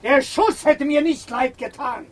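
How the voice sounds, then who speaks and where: An actor playing a raging, power-hungry marshal in a German radio play, shouting and cursing bombastically. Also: male voice, very high voice, a little slow, far from the mic.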